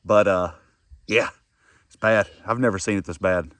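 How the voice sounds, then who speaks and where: A young man with a Kentucky accent, hoarse from a head cold, chatting easily to camera outdoors by a farm pond. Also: lowish voice, fairly even intonation, moderate pace, a bit bright, a little hiss or hum. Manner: easygoing, rambling, self-deprecating. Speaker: farm vlogger. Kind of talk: casual vlog talk to camera.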